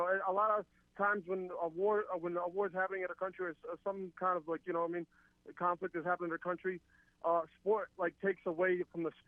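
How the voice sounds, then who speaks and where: A man's voice: high voice, no echo. A man in his twenties, originally from Palestine, talking casually as a guest fighter with lots of fillers and restarts. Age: 20s. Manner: rambling, filler-heavy, upbeat. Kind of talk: casual interview over a remote call. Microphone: remote call. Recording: tinny phone-like line.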